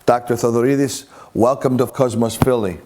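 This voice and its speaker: Mellow-voiced male interview host. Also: mid-range voice, steady tone, normal speed. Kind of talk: scripted intro then interview questions.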